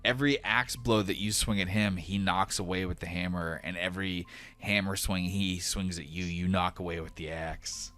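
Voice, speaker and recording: A man running a tabletop game as game master, narrating off the cuff in a casual, animated way. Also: low voice, normal speed.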